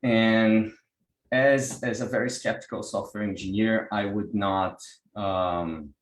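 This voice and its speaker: A man's voice: on the low side, fairly even intonation, slow pace, roomy sound, poor audio. A man from Brazil with a Brazilian Portuguese accent, giving an online workshop talk over a video call, hesitant with many restarts. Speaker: workshop presenter, pranic healer.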